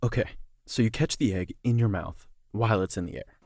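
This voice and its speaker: A man's voice: fairly low pitch, brisk, darkish tone, husky, no echo, clean studio sound. A man voicing a playful, confident young man in an audio drama, teasing and encouraging his friend.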